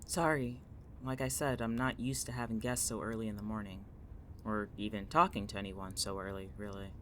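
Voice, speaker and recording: A man playing a guarded, paranoid college student in an audio drama, with a dry, grudging deadpan delivery. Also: lowish voice, a little fast, a little hiss or hum. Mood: subdued. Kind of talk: naturalistic audio drama acting.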